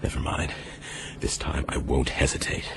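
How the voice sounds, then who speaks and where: A man's voice: rumbling low, steady tone, normal speed, creaky, whispered. An actor playing an earnest, wary castaway man in a radio drama, speaking in a terse, practical way. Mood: urgent, practical. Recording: noisy outdoor ambience behind.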